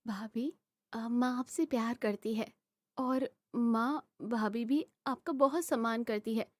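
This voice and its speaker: Concerned young sister, family cartoon peacemaker, played by a woman; high pitch, measured delivery. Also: darkish tone, no echo. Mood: worried. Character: worried young sister trying to make peace. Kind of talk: Hindi animated family drama acting.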